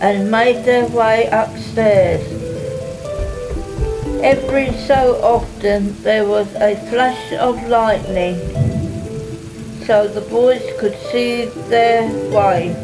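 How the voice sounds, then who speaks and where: Very slow-reading female narrator over music. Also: on the high side, fairly even intonation, rough recording. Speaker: narrator and announcer. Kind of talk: scripted radio play narration.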